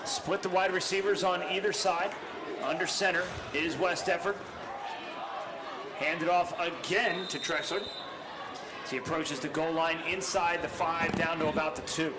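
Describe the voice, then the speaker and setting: A man calling high school football play by play in a brisk, factual manner. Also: fairly even intonation. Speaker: play-by-play commentator. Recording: noisy live stream audio.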